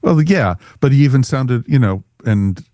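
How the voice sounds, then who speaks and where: A man's voice: medium pitch, lively intonation, moderate pace, crowd noise. A man hosting a casual review discussion, conversational and opinionated. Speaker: podcast host.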